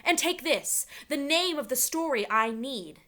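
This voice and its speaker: A wise, commanding enchantress from a storybook, voiced by a woman reader. She speaks formally and deliberately, in a bright, high voice with expressive rises and falls. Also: exaggerated voice. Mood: solemn, instructing. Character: wise, commanding enchantress librarian giving solemn missions.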